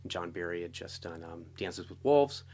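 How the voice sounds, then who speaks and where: A middle-aged American man telling his story casually as an interview guest, talkative and animated. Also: low voice, moderate pace, slight background noise. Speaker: interview guest, composer and guitarist.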